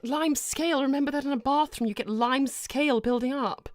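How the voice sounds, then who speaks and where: A woman playing a proud, formal young cat princess in improvised live roleplay, prim and quick to scold. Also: sits high, no echo, close to an everyday voice. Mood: exasperated.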